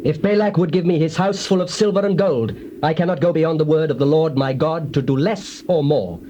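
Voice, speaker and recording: Solemn male prophet, played by an actor in dramatized scripture: declamatory, higher-pitched, mellow, quick to anger. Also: lightly acted. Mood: solemn, resolute. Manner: theatrical, declamatory. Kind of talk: dramatized scripture acting in archaic English. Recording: noisy, dull old cassette tape.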